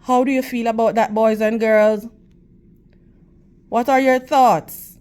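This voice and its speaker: A woman reading a picture book aloud to children like a teacher, in deliberate, measured phrases. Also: on the high side, fairly even intonation, darkish tone, clear, resonant tone. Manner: deliberate, measured phrasing with questions and praise addressed to young listeners.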